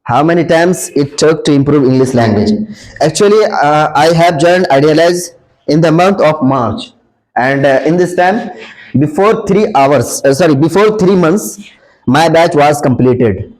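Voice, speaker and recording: A young man from India speaks English with an Indian accent, his voice sitting high. He gives earnest advice to fellow students in a classroom, filling pauses with "uh" and often starting his sentences over. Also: animated, a little mellow, poor audio. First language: Hindi. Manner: earnest advice with many fillers and restarts. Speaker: senior student. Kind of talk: answering audience questions.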